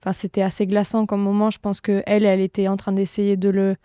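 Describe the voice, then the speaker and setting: A woman speaks personally and casually as a talk guest. Her voice is warm in timbre and keeps an even pitch, with very little room sound. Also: quick pace, clean tone, no room sound, studio quality. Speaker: podcast guest.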